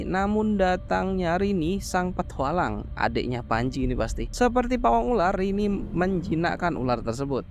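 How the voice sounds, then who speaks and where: A man narrating a horror film recap in a casual, brisk way, dropping slangy joking asides between plot beats. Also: sits high, slight background noise.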